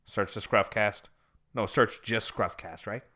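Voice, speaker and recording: A man's voice: lowish voice, unhurried, bright, forceful tone. A young man co-hosting a casual podcast, rambling with restarts and frequent laughs. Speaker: podcast co-host.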